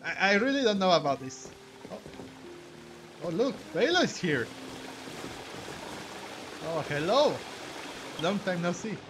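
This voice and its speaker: Slightly high male voice, gaming streamer, casual, halting, thinking aloud, game music behind. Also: sing-song. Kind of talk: casual let's-play commentary.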